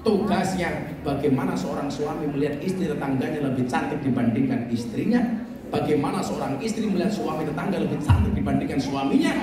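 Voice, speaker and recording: High-voiced joking Javanese preacher. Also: male voice, a little fast. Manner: joking storyteller, mixes in regional language. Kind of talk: humorous sermon Q&A. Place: large echoey venue. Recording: echoey hall, distant, loud crowd. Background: loud crowd and noise.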